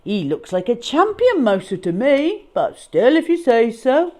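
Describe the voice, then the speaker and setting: Lady doing a storybook henchman guy, unsure but obedient, pitched up and loud. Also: sing-song, unhurried. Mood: doubtful, deferring. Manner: questioning, deferring to the boss. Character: male hired cat disposal heavy with a club.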